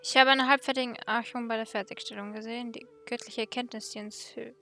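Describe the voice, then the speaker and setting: A woman's voice: a little high, fairly even intonation, fast, bright, forceful tone, faint music behind. A woman gamer reading on-screen dialogue aloud and adding short comments of her own. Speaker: gaming video creator.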